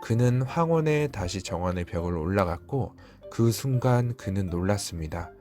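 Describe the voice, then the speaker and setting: A man with a fairly low voice narrates a storybook fairy tale from a script. Music plays clearly behind his reading. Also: animated, moderate pace, warm tone.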